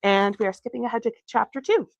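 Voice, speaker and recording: A woman reading from a novel aloud as its author, in an expressive storytelling style. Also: fairly high pitch, fast, vocal fry, no room sound, dull audio. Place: bookstore reading.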